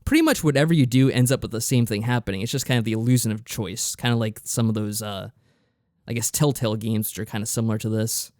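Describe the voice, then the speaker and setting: A man talks through a review in a casual, explanatory way, as a podcast co-host would. His voice is on the lower side for a man, and the recording is dry and close. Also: fast talker, a little mellow, dry recording.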